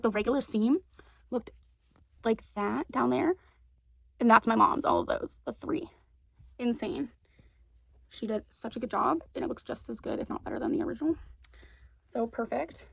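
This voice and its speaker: A teenage girl vlogging casually at home, chatty and full of fillers, with a raspy voice. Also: on the high side, no room sound. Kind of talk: casual chat. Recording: noisy home recording.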